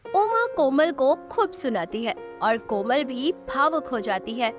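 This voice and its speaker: A woman's voice: high voice, very animated, measured pace, over music. A woman reading scripted narration for a moral story as its narrator.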